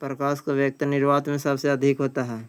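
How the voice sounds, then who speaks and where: A man's voice: medium pitch, completely flat delivery, a little fast, clean tone. A man reading out practice questions and answers as a teacher, plain-spoken and repeating phrases. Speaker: teacher, exam coaching.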